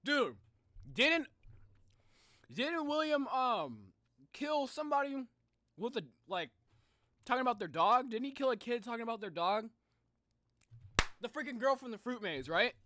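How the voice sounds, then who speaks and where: A man doing casual live reaction commentary as a streamer, excitable and slangy, often repeating his phrases. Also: extremely high pitch, unhurried, pauses often. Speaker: streamer reacting to game videos.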